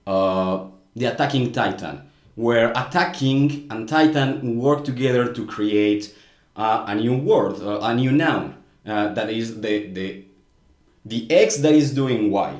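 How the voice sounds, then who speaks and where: A man's voice: mid-range voice, slow pace. Mexican guy, spanish accent, earnest language explainer, kinda echoey room. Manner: earnest, explains carefully with frequent restarts. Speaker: YouTuber commenting on language. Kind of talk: casual explanatory commentary.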